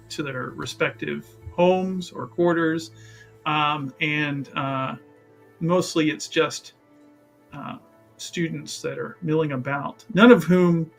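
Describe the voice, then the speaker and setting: Bright-voiced man narrating a tabletop game. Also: fairly high pitch. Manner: rambling, halting, repeats words in bursts. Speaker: tabletop roleplaying player.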